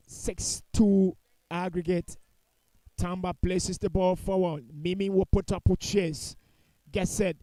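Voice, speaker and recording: A male football commentator with a high-pitched, mellow voice. He calls the match live and offers blunt, critical opinions, repeating phrases for emphasis. The sound is dry and close. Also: no echo, rough recording.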